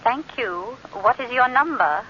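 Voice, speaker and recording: An actress playing a polite, businesslike telephone operator, in crisp and formal 1940s radio drama style. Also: female voice, on the high side, lively intonation, a little slow. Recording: muffled old radio broadcast with faint hiss.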